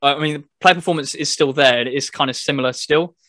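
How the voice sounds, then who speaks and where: A man's voice: on the high side, a little fast, pushed and bright, no room sound, studio quality. A man co-hosting a gaming podcast, chatting casually and explaining things step by step. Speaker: podcast co-host reviewing a video game. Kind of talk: casual chat.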